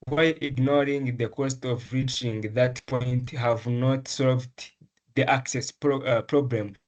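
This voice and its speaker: A young man from Rwanda, a medical student, answering interview questions in an earnest, measured way with frequent pauses and restarts. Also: mid-range voice, darkish tone, highs cut off. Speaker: medical student, essay prize winner.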